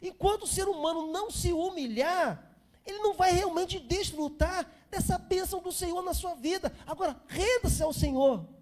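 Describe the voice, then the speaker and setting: A man's voice: very high-pitched, normal speed, a little mellow. A Brazilian man in his fifties preaching an informal sermon as a pastor, repeating phrases for emphasis and chatting to the congregation. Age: 50s.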